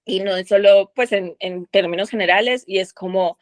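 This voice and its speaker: A woman's voice: medium pitch, steady tone, normal speed, no room sound. A woman in a book club, talking through her thoughts in a rambling way with many fillers and restarts. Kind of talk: casual group discussion.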